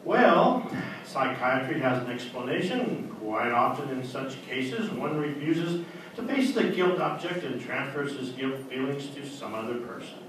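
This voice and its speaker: An actor playing a probing, reasoning doctor in an old-time radio drama, formal and deliberate in his explanations. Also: mid-range voice, expressive, a bit bright. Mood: measured, probing. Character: probing, reasoning male doctor, calmly accusatory. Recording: very echoey hall, distant mic, clear noise.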